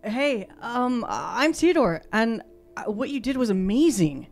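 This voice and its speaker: A woman playing a tabletop game, improvising casually in character with a halting, tentative delivery. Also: on the high side, big pitch swings, gravelly. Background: background music.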